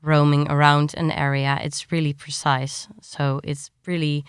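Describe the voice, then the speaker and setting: Female interviewee, clear crisp voice, explanatory and conversational, very dry sound. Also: low-pitched, flat delivery, a little slow, no echo. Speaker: science journalist, interviewee.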